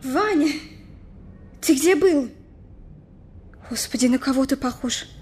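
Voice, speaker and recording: A motherly Russian woman in a radio drama, her voice clearly high. She is distraught and fussing, pleading and coaxing as she repeats herself. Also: animated, a little slow, a little mellow. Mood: shocked, anxious.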